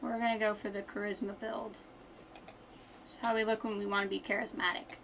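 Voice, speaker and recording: A woman's voice: medium pitch, level tone, fairly quick, gravelly, fairly bright tone, a little hiss or hum. A woman game streamer giving casual live commentary with wry, dry asides.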